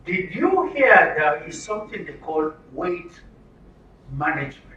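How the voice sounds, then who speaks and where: A Nigerian man presents to a room in a bright, forceful voice, fairly high for a man. He is emphatic and likes to ask questions and answer them himself. The recording is roomy, with the mic some way off and faint noise. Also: very animated, measured pace, recorded from a distance, dull audio. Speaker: presenter at a health orientation for media. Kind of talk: interactive public talk. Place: room with an audience.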